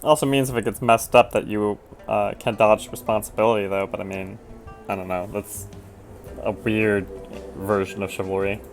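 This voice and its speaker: A man's voice: lowish voice, steady tone, normal speed. A man giving casual, wry, offhand commentary as he streams a video game. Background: game music.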